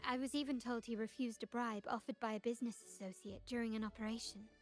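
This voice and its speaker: A cool young investigator, played by a woman, her voice sitting a touch high and every sentence placed with formal precision. Soft game music plays under her lines. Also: fairly even intonation, close to an everyday voice. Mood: calm, analytical. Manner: measured, formal and composed. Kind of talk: video game dialogue.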